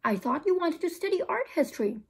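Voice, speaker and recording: A woman's voice: very high voice, sing-song, a little fast, pitched above the performer's own voice, full character voice. A woman reading the part of an unsettled, argumentative sixth-grade boy in a storybook, blunt and questioning. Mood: indignant.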